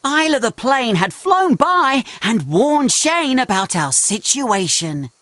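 A woman's voice: on the high side, very animated, slow pace, fairly bright tone, no echo, full character voice. A woman voicing a cheerful, curious young male talking steam engine, telling stories earnestly and expressively to children in a cartoon game.

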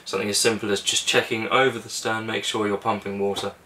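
A young man talks through engine checks in an easygoing, practical way, with a low voice and little rise and fall. He sounds a bit distant from the camera mic in a small cabin, with a faint hiss behind him. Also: fast talker. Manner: relaxed, practical, talks through steps with fillers. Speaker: sailing vlogger. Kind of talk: casual how-to explanation. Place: small boat cabin and engine bay, indoors.

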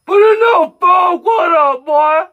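A young man playing a manic, boastful gym nut in comedy prank calls, with drawn-out exaggerated whoops and shouts. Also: extremely high pitch, phone-quality sound. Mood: manic, shouting. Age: young adult. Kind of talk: prank phone call comedy. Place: small cluttered room.

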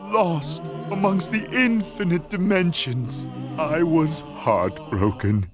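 A man's voice: a little high, lively intonation, slow pace. A man voicing a pompous, irritable mad scientist villain in a cartoon video game, grandiloquent and melodramatic. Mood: wistful storytelling. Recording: loud music behind.

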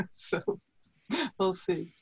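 A woman's voice: medium pitch, wildly swooping pitch, very slow, gravelly, husky. A woman introducing herself on a video call in a rambling way, laughing easily. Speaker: participant in a women's circle.

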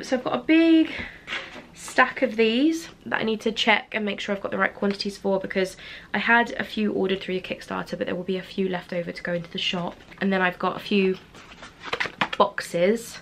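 A woman's voice: mid-range voice, fairly bright tone, slight background noise. A young woman chatting casually in a studio vlog from her small craft studio, a bit nasal from a cold, rambling with fillers and restarts. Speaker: small business owner and artist.

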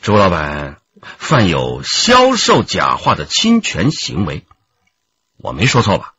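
Raspy, wily police detective. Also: male voice, sits low, huge pitch swings, takes it slowly, bright, forceful tone, highs cut off, full character voice. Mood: stern, needling. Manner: needling, leading questions. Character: wily, taunting male police detective in command. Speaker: radio drama actor. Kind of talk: Chinese radio drama acting.

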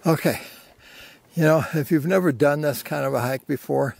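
A man's voice: a little high, moderate pace, vocal fry, darkish tone, dry recording. A man of about seventy narrating his hike casually, in a folksy, self-deprecating way, into a handheld camera outdoors. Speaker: hiking vlogger. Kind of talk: casual narration while hiking. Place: outdoors on a rocky desert mesa slope. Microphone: handheld camera at arm's length.

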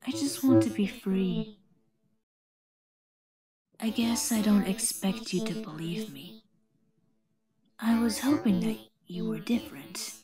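A woman voicing a lonely, pleading female animatronic chicken in fan-made character lines, soft, breathy and plaintive. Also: medium pitch, takes it slowly, pauses often, music in the background, close to an everyday voice. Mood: sad, pleading.

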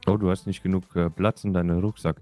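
Chill guy in a game chat, deepish mellow voice, easygoing. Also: flat delivery, quick pace, faint music behind. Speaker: role-play game player. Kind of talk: casual in-character chat.

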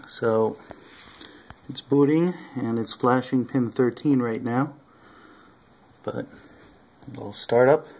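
A man casually showing his electronics project at a home workbench, talking haltingly with many ums and uhs. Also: medium pitch, darkish tone, low-quality recording. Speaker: hobbyist maker.

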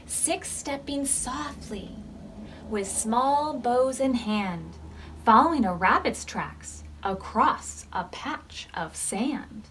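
A woman's voice: a little high, a little hiss or hum, poor audio. An American woman in her thirties leading storytime as a children's librarian, reading aloud expressively to preschoolers and putting questions to them. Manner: expressive, measured reading with questions to young listeners.

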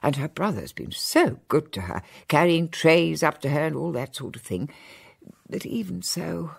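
A soft-spoken, breathy older lady. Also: sits low, animated, normal speed, full character voice. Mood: gentle.

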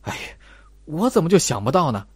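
Audiobook role: naive, eager young male sidekick acted by a man, excitable, swooping intonation. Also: on the high side, moderate pace, slightly rough, no room sound. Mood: sheepish, admiring.

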